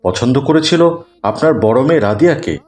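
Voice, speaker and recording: Man as a firm, mid-pitched cartoon uncle. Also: expressive, a little fast, darkish tone, lightly acted. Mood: firm, indignant. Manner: polite but firm. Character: principled uncle arranging his nephew's marriage. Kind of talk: dramatic cartoon dialogue.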